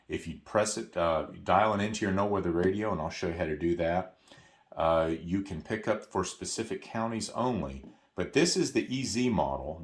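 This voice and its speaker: A man presenting an unscripted step-by-step product walkthrough, explaining in a hesitant way and correcting himself as he goes. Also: low voice.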